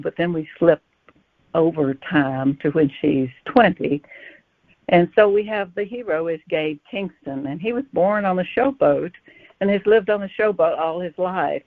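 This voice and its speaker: A woman's voice: sits low, dry recording. Woman guest author on a phone-like line. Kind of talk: storytelling interview answers.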